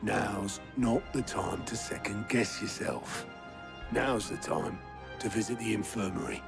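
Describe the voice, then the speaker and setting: A man playing a gruff, plain-spoken steward in a fantasy game, talking in a dry, colloquial, matter-of-fact way. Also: lowish voice, a little slow. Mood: frank, encouraging. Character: gruff, wry, plain-spoken male steward and old ally. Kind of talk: video game cutscene acting. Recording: game dialogue over music.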